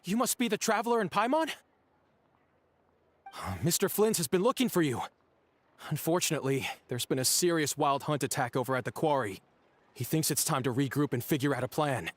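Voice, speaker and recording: A man's voice: fairly high pitch, expressive, a little fast, pauses often, no room sound. A man voicing a polite, earnest young messenger in a scripted video game. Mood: polite, concerned. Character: polite young male messenger bearing urgent news.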